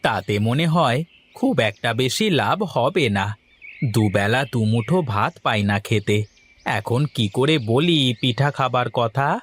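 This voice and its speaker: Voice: mid-range voice, lively intonation, unhurried, slightly rough. A voice actor playing a mournful young village man in a cartoon, grumbling about being poor. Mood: gloomy. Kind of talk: cartoon voice acting.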